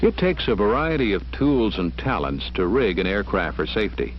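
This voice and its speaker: A man's voice: on the low side, big pitch swings, normal speed, slight background noise. A man reading scripted narration for an educational film. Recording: muffled old film soundtrack with music. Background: music.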